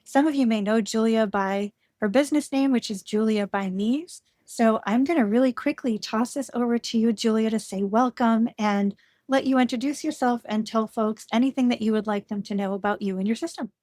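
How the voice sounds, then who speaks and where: A woman's voice: fairly high pitch, fairly quick, darkish tone, no echo, studio quality. A woman hosting a podcast, reading a scripted intro and then interviewing a guest in a welcoming, encouraging way. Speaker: podcast host.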